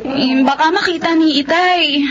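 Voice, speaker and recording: A Filipino woman playing a gentle, sensible young girlfriend and dutiful daughter in a radio drama, earnest in delivery. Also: sits high, a little slow, crowd noise. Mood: concerned. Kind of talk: Tagalog radio drama acting. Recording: dull lo-fi radio drama, noisy ambience.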